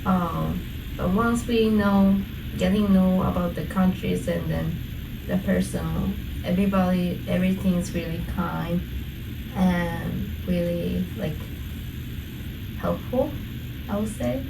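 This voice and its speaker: Slow, hesitant Japanese woman guest. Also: fairly low pitch, creaky. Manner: hesitant, lots of fillers, searching for words. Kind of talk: casual interview. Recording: echoey room from a distance, noisy.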